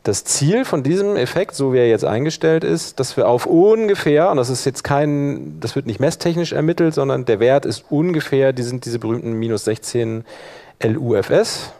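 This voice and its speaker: Man explaining audio software live. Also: medium pitch, expressive, moderate pace. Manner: thinks aloud while operating the software. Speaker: workshop instructor.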